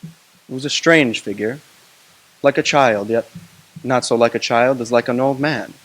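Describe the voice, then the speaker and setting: A man narrating a classic ghost story in an expressive, hushed manner. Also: mid-range voice, unhurried, poor audio.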